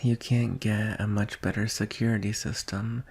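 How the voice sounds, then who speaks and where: A man playing a devoted, solemn male dragon guard in an intimate ASMR roleplay, speaking in a hushed, wistful, husky voice that often drops to a whisper. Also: on the low side, level tone. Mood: solemn, reflective. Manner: hushed, deliberate, with long pauses.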